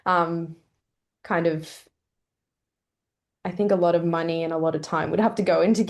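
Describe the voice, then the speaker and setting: A woman's voice: lowish voice, darkish tone. Australian woman chatting in an easy way. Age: 20s. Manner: chatty, many "um" fillers, laughs often. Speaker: pro golfer guest. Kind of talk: casual interview answers.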